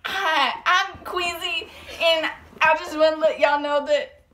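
High-pitched, bright female voice; slangy, giggly prank caller at home. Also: steady tone, slow, slight background noise. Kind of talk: casual prank phone calls with friends. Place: small furnished bedroom indoors.

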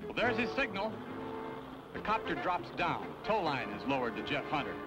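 Brisk, high-voiced 1950s TV host. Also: male voice, sing-song, over loud music. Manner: brisk, upbeat announcer delivery. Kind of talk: 1950s TV show narration. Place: outdoors by a harbor. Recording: dull 1950s TV audio with outdoor noise.

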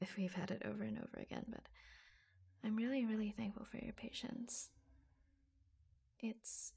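Woman acting a slow, breathy secret office girlfriend. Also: medium pitch, full character voice. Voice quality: soft, breathy. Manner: hushed, hesitant, confiding. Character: affectionate young woman in a secret office romance, proud, reassuring. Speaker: ASMR roleplay performer. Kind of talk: intimate ASMR roleplay, soft-spoken and often whispered.

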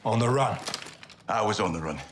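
Guy doing gritty scottish army sergeant, lowish lively voice, clipped game cutscene talk, music behind. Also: moderate pace, a little hiss or hum, lightly acted. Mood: determined. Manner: terse, clipped military talk. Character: gritty loyal young male special forces sergeant. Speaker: soldier character. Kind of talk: cinematic game acting.

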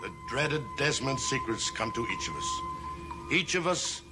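Old family patriarch, solemn and measured, gothic tv soap, music playing under him. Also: male voice, a little high, huge pitch swings. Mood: reassuring, wry. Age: older. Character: grave, reassuring old grandfather of a cursed family. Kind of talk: 1960s gothic TV soap acting. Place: TV studio set of an old house.

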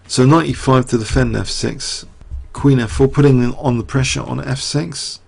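A middle-aged man commentating live on a chess game, talking through the moves and quizzing his viewers, his voice getting croaky. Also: medium pitch, fairly even intonation, normal speed.

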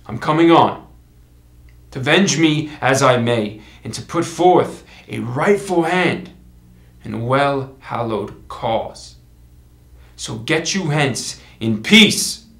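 A man performing a Shakespearean monologue as a proud, vengeful young king, declaiming deliberately with dramatic pauses. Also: medium pitch, a bit bright. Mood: stern resolve. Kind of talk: Shakespearean stage monologue in verse. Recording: echoey room.

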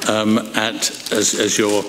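An older man preaching a sermon from a lectern in a large church, expressive and slowing down to stress his points. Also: on the low side, a little hiss or hum. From England. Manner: expressive, gestures broadly, slows for emphasis. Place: large wooden church, indoors. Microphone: lectern microphone. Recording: echoey church hall, long reverb.

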